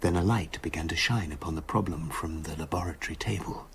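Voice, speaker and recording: Brooding, tormented gentleman doctor, low-voiced. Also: fairly quick, slight background noise. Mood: feverish recollection. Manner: formal, confessional, intense. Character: tormented, self-justifying male doctor, brooding and confessional. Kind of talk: radio drama acting.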